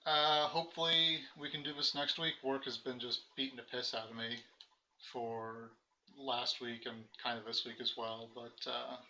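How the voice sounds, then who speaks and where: Bright-voiced American man chatting about cards. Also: mid-range voice, moderate pace, highs cut off, slight background noise. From United States. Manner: relaxed, rambling, many fillers. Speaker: card game co-host. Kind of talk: casual post-game chat.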